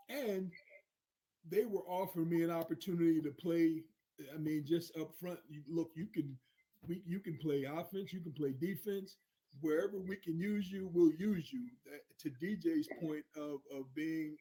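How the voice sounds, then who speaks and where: A man in his fifties tells stories from his youth as an interview guest, his voice mellow and warm. He speaks earnestly, often restarting and repeating words as he gathers his thoughts, and his pitch sits a bit high for a man. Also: slightly rough, poor audio. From North Carolina, grew up in New Jersey. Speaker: guest, former football player. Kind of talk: casual storytelling interview.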